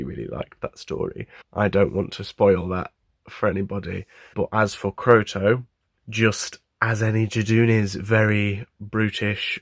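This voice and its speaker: A man with a gravelly, husky voice giving a casual interview as an audio drama cast member. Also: on the low side, fairly bright tone, no echo, studio quality. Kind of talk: casual interview talk to camera.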